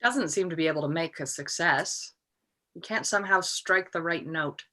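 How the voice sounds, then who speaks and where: Woman reading jaded writer guy in an old play, musing, bright forward voice on the lower side, video call. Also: normal speed. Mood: reflective. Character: world-weary, self-absorbed male novelist fond of fishing. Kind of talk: cold read of a classic stage play.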